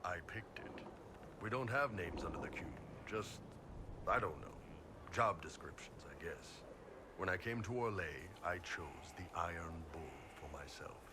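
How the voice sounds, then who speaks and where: A huge horned warrior chief from a fantasy game, with a very deep, gruff voice. He is blunt and easygoing, at home giving orders. Clear background noise sits behind him. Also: expressive, unhurried. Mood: relaxed, wry. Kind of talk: video game dialogue.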